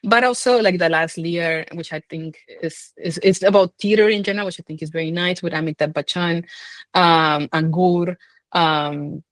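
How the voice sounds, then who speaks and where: A woman's voice: on the low side, normal speed, no room sound. A woman speaking as a scholar being interviewed, thinking aloud in an informal, hesitant, conversational way. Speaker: film scholar and guest.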